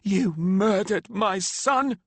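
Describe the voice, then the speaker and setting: A man's voice: sits high, drawn-out words, a bit bright, dry recording, voice pushed up, heavily acted. A man voicing a grieving mother in mourning who harshly accuses and snarls at a rider. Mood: furious. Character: grief-crazed mother in deep mourning, furious.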